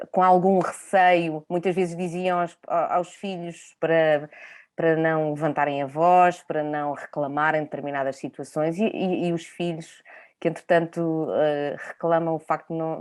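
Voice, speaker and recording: Low-pitched woman, halting Portuguese delivery. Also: a little mellow. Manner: halting, searching for words, checks with tag questions. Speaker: panel speaker. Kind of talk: reflective panel discussion, spontaneous.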